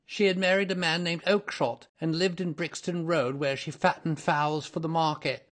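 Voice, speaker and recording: Man acting a high-pitched, cringing, terrified thief. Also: steady tone, normal speed, a bit bright, natural voice. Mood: nervous confession. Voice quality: crackling, shrill when pleading. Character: terrified, cringing, pleading small-time male thief.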